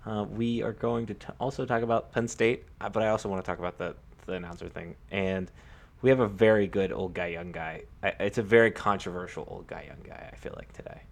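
A man co-hosting a casual sports podcast, talking in a halting way with repeated words and lots of "like". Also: fairly low pitch, fairly even intonation, gravelly. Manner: halting, repeats himself, peppers sentences with "like".

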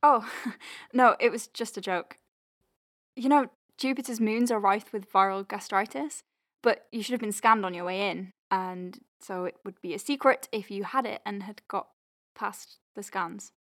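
A woman's voice: medium pitch, measured pace, natural voice. Awkward, hesitant young woman doctor played by an actress. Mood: wry, friendly. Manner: hesitant, nervous, stammering. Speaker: lead actor in an audio drama podcast. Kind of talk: audio drama acting, naturalistic.

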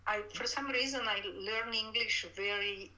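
Bright-voiced Italian-accented woman author. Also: on the high side, moderate pace, poor audio. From Italy. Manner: laughs often while talking, frequent fillers and restarts. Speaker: author guest. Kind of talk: interview conversation.

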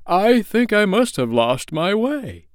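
A man voicing a mild, courteous little sea crab with formal, drawn-out politeness for a children's storybook. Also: high voice, big pitch swings, no room sound, clean studio sound, pitched above the performer's own voice, full character voice. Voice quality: mild, small voice. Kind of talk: storybook character voice.

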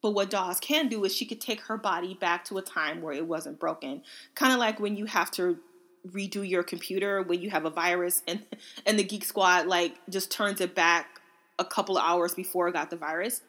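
A woman's voice: fairly low pitch, moderate pace, a bit bright. A woman hosting a book podcast, casually recounting and analyzing a story in a conversational way, informally acting out the odd quote. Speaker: book reviewer and podcast host.

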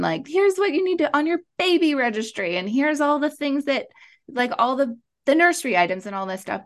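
Animated woman from Montreal telling her story. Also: mid-range voice. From Montreal, Canada. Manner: animated, laughs often, quick asides. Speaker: podcast guest, wellness coach. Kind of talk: casual interview storytelling.

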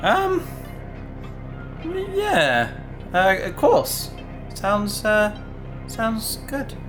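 A man's voice: sits high, wildly swooping pitch, extremely slow, music in the background, heavily acted. A man playing an injured, dazed human researcher in a comic sci-fi audio drama, rambling in a guileless, childlike way. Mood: woozy, hesitant.

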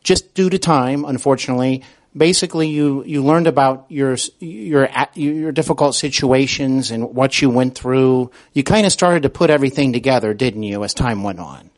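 Male interviewer, medium-pitched voice, conversational, complimentary, halting phrasing. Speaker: podcast and radio host, interviewer.

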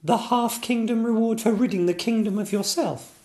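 A man voicing a high, eager little princess. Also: normal speed, a little mellow, close to an everyday voice. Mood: earnest. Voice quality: high squeaky girlish voice. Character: young girl princess, earnest and clever. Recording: roomy echo.

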